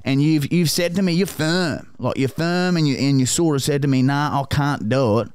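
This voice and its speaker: A man's voice: on the high side, moderate pace, a little mellow, smooth, no echo, clean studio sound, close to an everyday voice. A man with an Australian accent playing a crude, pushy bloke on a prank call, swearing constantly and calling everyone mate and brother. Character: crude pushy bogan bloke, loud and pleading. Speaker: prank caller.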